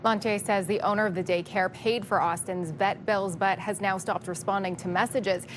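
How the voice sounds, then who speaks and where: A woman reporter narrates a news story. Her pitch sits in the middle range, and the delivery is scripted and informative. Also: fairly even intonation, moderate pace, a little hiss or hum, poor audio.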